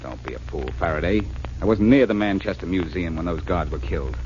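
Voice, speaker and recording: An actor playing a breezy, wisecracking amateur sleuth, flippant and cocky, in a 1940s radio detective drama. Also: male voice, low-pitched, darkish tone, lightly acted. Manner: flippant, quick with quips. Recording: muffled, hissy 1940s radio recording.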